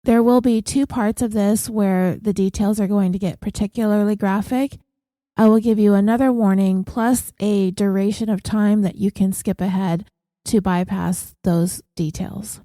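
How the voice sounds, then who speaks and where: A woman narrates her true crime podcast in a steady, even read. Her voice is a little nasal and stuffed up from congestion, with a mellow, warm tone. The recording is close and dry, with no room sound. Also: medium pitch, normal speed, no echo. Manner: reads ads and listener names in an even, steady delivery.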